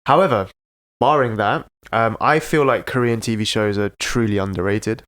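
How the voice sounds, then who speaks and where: A man's voice: lowish voice, normal speed, fairly bright tone. A man co-hosting a podcast while ill with a cold, talking casually and hesitantly, with many fillers and restarts. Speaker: podcast co-host. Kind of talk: casual chat.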